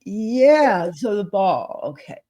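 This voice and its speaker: Casual co-host, a woman at her computer mic, chatty, mid pitch, narrating her clicks. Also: very animated, slow pace, warm tone. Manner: chatty, thinking aloud, frequent laughs. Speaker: co-host demonstrating software. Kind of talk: casual screen-share chat.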